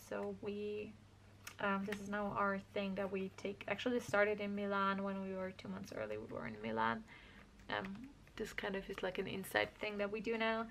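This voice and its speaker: Chatty young woman with creaky voice. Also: medium pitch, fairly bright tone, slight background noise. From Austria. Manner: rambling, self-correcting, chatty. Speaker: craft vlogger. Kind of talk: casual scrapbook walkthrough.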